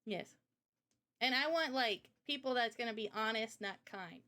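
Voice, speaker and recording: Casual, higher-pitched woman explaining things. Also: expressive, measured pace, bright, forceful tone. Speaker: game developer presenting a visual novel project. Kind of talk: casual livestream Q&A presentation.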